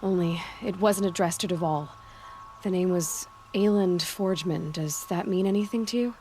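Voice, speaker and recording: A woman's voice: on the low side, normal speed, mellow, slight background noise, lightly acted. A woman playing a blunt, resolute young hunter in a video game cutscene. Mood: matter-of-fact.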